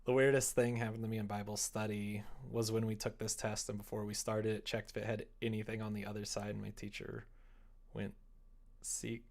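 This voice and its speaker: A man's voice: lowish voice, level tone, a little fast, creaky, a little mellow. A young man with an American accent chats casually on a livestream, rambling expressively as he reacts to comments. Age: young adult. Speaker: live streamer. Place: indoor room at a desk.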